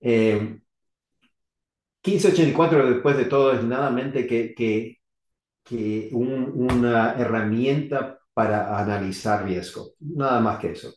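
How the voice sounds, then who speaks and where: A middle-aged man from Argentina, now living in the US, giving an unscripted technical talk on electrical safety in halting, rusty Spanish full of restarts and fillers. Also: medium pitch, darkish tone. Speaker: electrical safety engineer presenting. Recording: echoey room.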